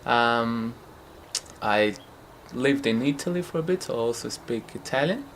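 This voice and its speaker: Brazilian man, relaxed hesitant interview answers. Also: medium pitch, measured pace, a little hiss or hum. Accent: Brazilian Portuguese. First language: Portuguese. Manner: relaxed, hesitant, frequent fillers and light laughs. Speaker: futsal player. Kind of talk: casual interview. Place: home.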